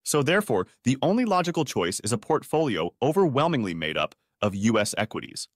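A man presenting a scripted finance explainer in a didactic, measured manner with rhetorical questions. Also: mid-range voice, very animated, no room sound, clean studio sound.

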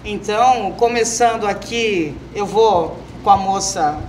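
Brazilian woman hosting, clear background noise. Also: mid-range voice, takes it slowly, darkish tone, echoey room. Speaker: podcast host. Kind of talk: informal podcast hosting. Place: small wood-panelled TV studio, indoors. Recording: clearly noisy room ambience.

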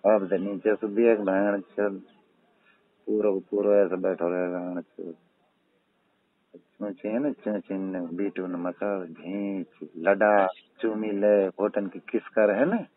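Husky mewati guy chatting on a phone, lowish voice, tinny call recording. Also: fairly even intonation, takes it slowly. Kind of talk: casual private phone chat. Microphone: telephone.

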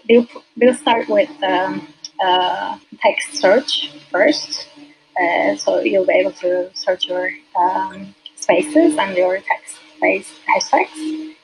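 A woman's voice: medium pitch, creaky, poor audio. A woman whose first language is Turkish, a designer talking as a guest in a casual interview, hesitant with many fillers and restarts.

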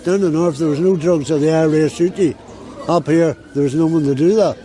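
A man's voice: fairly high pitch, brisk, warm tone. An older man, coughing often and talking in a rambling way in a street interview on a busy city street. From Northern Ireland.

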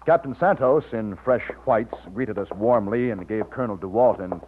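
An actor playing a loyal, modest young Texas plantation overseer, polite and earnest, in an old-time radio drama. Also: male voice, lowish voice, normal speed, noisy background, close to an everyday voice. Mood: narrating. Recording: muffled vintage radio, music behind.

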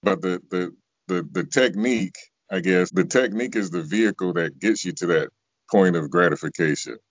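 A middle-aged American man with a very deep bass voice, chatting casually as an interview guest on a video call. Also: normal speed, no room sound. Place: small room at home, indoors. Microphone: video call, close to device. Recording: dull lo-fi video call audio.

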